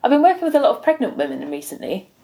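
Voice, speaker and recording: A young woman chatting as co-host of a podcast. Her pitch swings about in lively arcs, and she talks softly with frequent giggles. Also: rapid-fire, vocal fry. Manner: giggly, animated, soft-spoken. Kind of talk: casual chat.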